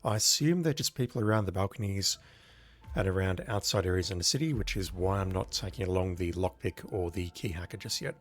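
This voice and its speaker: A man with a croaky voice from illness, chatting casually as he streams a game. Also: sits low, fairly quick. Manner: rambling, chatty, apologetic. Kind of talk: casual gameplay commentary.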